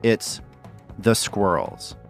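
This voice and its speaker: Male game master, slow and deliberate, dry humor. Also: fairly low pitch, lively intonation, vocal fry, faint music behind. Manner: measured, dramatic narration with dry humor. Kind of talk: narrating a tabletop game.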